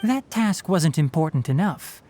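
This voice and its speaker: Knowledgeable young ranger in a game, lowish voice, animated but dry and matter-of-fact, bit of music behind. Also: female voice, measured pace. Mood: firm, patient. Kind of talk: video game voice acting.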